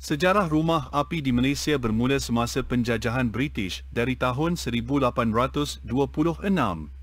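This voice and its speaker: A man reading an educational text aloud in a measured, even way. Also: mid-range voice.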